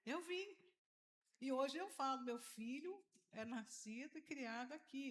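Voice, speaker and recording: A woman with a fairly high voice and a Carioca Brazilian accent preaches in a very roomy, echoing space. She speaks as a pastor in an informal, conversational way, repeating key phrases and often tagging them with short questions. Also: expressive, a little slow, a bit bright. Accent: Carioca Brazilian Portuguese. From Rio de Janeiro, Brazil. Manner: informal, repetitive emphasis with frequent tag questions. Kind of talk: conversational sermon to a congregation. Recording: roomy, echoing sound of a live talk.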